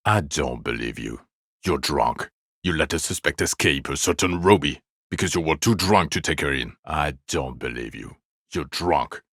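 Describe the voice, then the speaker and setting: Very deep-voiced, bitter police detective. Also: male voice, fairly bright tone, dry recording, clean studio sound, heavily acted. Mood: low, sardonic, then stern, accusing. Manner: sardonic, accusatory, profane. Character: bitter, exasperated male police detective berating his drunk partner. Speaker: video game voice actor. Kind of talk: dramatic game dialogue, scolding.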